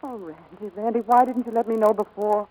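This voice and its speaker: A woman's voice: a little high, fairly even intonation, fairly quick, darkish tone, close to an everyday voice, rough recording. A woman acting a proud, guarded American aviator in dramatic, emotionally intense 1940s radio style. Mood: stunned, regretful.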